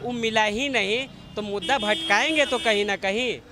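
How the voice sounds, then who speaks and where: A man's voice: high voice, moderate pace. A man giving his opinion in a street interview, arguing his case point by point. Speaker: interviewed member of the public. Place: outdoors on a city street. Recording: street noise behind the voice.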